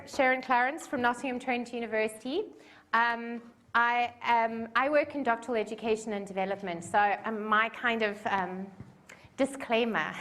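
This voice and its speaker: A woman's voice: medium pitch, a bit bright. A woman in her forties with a South African accent gives a conference talk in a chatty, self-deprecating way, with plenty of fillers. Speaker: conference speaker. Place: conference room.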